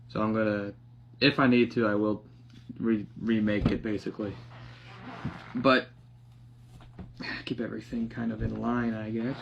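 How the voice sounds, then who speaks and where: Slow-paced young man muttering through DIY. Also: medium pitch. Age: young adult. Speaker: DIY vlogger. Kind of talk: casual hands-on project narration, half talking to himself. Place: living room, indoors. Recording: dull lo-fi sound, clear background noise.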